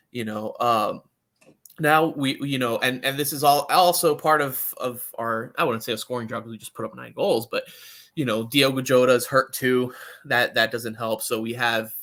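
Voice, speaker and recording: Bright-voiced man talking football. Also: mid-range voice. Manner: opinionated, conversational, often checks in with "you know". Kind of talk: casual sports podcast chat.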